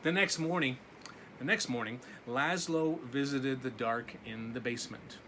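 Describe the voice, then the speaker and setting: A man reading a children's story aloud expressively, with deliberate, dramatic pauses. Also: medium pitch, bright, forceful tone, slight background noise. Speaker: children's story reader, teacher.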